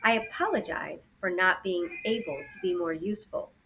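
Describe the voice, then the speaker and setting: A woman voicing a formal, stern, matter-of-fact robot in an audiobook, with measured and precise delivery. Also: fairly low pitch, big pitch swings, creaky, a bit bright, slight background noise, heavily acted. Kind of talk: children's audiobook character voice.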